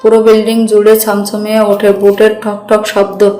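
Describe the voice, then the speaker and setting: A woman's voice: mid-range voice, monotone, moderate pace, mellow. A woman narrating a dramatic scripted story. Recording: roomy echo with music bed.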